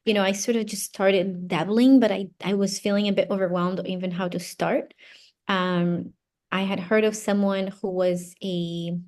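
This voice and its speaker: Woman, from Brazil, native Portuguese, mid-range voice, casual interview guest, thinks aloud haltingly. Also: medium pitch, fairly even intonation, darkish tone. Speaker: interview guest, psychology doctoral graduate.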